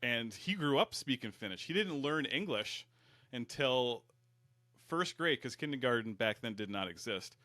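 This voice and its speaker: A man's voice: mid-range voice, expressive, moderate pace, bright, forceful tone, dry recording. A man from Michigan's Upper Peninsula, a fire service educator, talking in a folksy, rambling, self-deprecating way. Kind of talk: casual interview.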